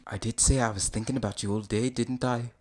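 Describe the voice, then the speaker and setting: A man's voice: medium pitch, steady tone, a little fast, over music, lightly acted. Big green ogre lover, played by a male reader: amateurish Scottish accent, sweet, flirtatious, comic storybook romance. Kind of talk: comedic fan fiction read aloud.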